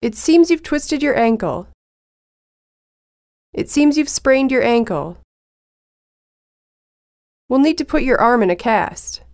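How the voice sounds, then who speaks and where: A woman with a slightly high, lively pitch reads practice phrases at a deliberately slow pace. Her delivery is careful and even, like a language lesson track, and the sound is dry and close. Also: dry recording. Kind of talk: slow, clear phrase reading for learners.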